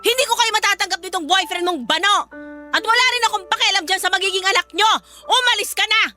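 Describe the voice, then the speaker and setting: Filipino radio drama, bitter middle-aged mother: sharp, piercingly high, harsh, accusing, scornful. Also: fast talker. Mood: furious. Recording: music behind the voice.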